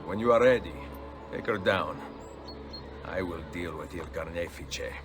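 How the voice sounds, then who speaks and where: Grave Italian assassin, low-voiced. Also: male voice, measured pace, over music. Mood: grave, resolute. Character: wounded stoic Italian male assassin, grave and courteous. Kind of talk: video game cutscene acting.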